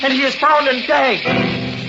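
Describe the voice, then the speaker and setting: A man's voice: very high voice, animated, takes it slowly, sharp tone, heavily acted. A man playing an alarmed, exclaiming policeman in an old-time radio drama. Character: alarmed Dutch policeman spotting a drowning man. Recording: dull old radio recording, music behind.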